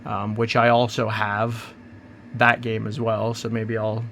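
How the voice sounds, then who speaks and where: A man hosting his own channel, chatting casually and rambling through an unboxing, hoarse from a cold. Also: lowish voice, monotone, measured pace, fairly bright tone, slight background noise. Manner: rambling, chatty, self-interrupting. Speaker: channel host.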